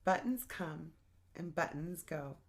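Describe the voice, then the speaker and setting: A woman's voice: sits low, expressive, slow pace. A woman hosting a children's storytime, reading a picture book aloud in a playful, sing-song way and speaking straight to young listeners.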